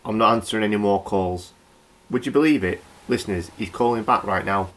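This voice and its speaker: A man's voice: on the low side, brisk, fairly bright tone, lightly acted. A man with a British accent playing a flustered, nervously apologetic local radio presenter who stammers and rambles in a comedy horror radio play. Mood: annoyed.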